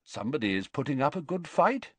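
A man voicing a wise, gentle, very old male wizard headmaster who speaks mildly and calmly. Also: mid-range voice, measured pace, no echo, pitched above the performer's own voice. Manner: mild, soft-spoken and calm.

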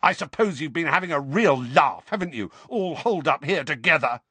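A man's voice: a little high, lively intonation, a little fast, bright, forceful tone, breathy edge, no echo, pitched above the performer's own voice, exaggerated voice. A man voicing a bitter, furious teenage wizard boy in a storybook, accusing and sarcastic. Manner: explosive, accusing, demanding.

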